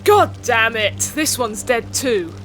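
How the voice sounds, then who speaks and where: A man's voice: very high voice, big pitch swings, slow, a bit bright, soft background music, heavily acted. A man playing a frantic, blunt, sweary teenage boy in an audio drama. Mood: frustrated. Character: panicked, sweary British teenage boy searching for sister.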